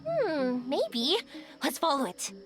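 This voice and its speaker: A woman's voice: high-pitched, wildly swooping pitch, slow, over music, full character voice. An actress playing a cheerful, kind young woman in a video game, with a bubbly, encouraging manner. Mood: playful. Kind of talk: English video game voice acting.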